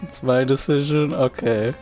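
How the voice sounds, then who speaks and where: Slow-talking, halting male game streamer. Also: medium pitch, fairly even intonation, a little mellow. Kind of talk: casual livestream chat. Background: faint game music.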